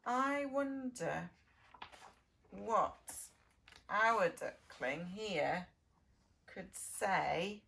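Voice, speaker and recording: A woman reading a children's storybook as a preschool lead, with sing-song delivery, dramatic pauses and simple questions for young listeners. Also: lowish voice, gravelly, a bit bright. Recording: distant microphone.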